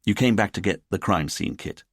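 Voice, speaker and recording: A man's voice: low voice, fairly quick, dry recording, clean studio sound. A man voicing a formal, easily flustered British police chief who blusters and stammers in an audiobook story. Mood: accusing.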